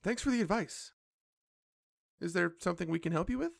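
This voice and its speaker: A man acting a polite, earnest cafe owner in a fantasy audio drama, speaking in a measured way. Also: high voice, expressive, creaky, dry recording, clean studio sound, heavily acted. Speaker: voice actor in a fiction podcast.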